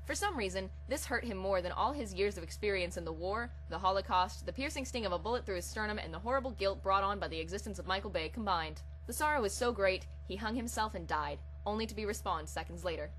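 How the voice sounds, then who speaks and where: A woman's voice: medium pitch, animated, quick pace, hardly any pauses, fairly bright tone, low-quality recording. A woman with a mid-range voice hosts and reads comic fan fiction aloud. Her delivery is part casual chat, part dramatic storytelling. A faint hum sits behind the recording. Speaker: host of a weekly fan fiction reading. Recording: audible background hum and hiss.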